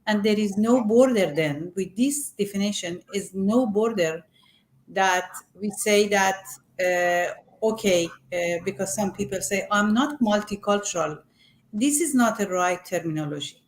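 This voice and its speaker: A middle-aged or older woman from Iran is being interviewed about her work. She has a Persian accent and a mid-range voice, and she speaks haltingly, often pausing to find her words in a second language. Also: mid-range voice, low-quality recording. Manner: halting, searching for words in non-native English. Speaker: arts group representative being interviewed.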